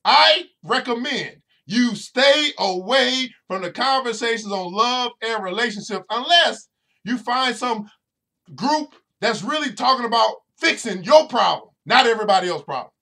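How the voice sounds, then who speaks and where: A man's voice: very high-pitched, lively intonation, unhurried, vocal fry, pushed and bright. A man hosting a motivational podcast, preaching to his listeners directly and repeating phrases over and over for emphasis. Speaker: financial educator and podcast host.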